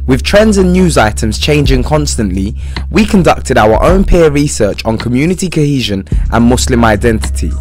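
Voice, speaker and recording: Young male narrator, mid pitch, steady statistical voice-over with music underneath. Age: young adult. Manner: measured, reading statistics. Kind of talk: documentary narration. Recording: voice-over with clear music behind.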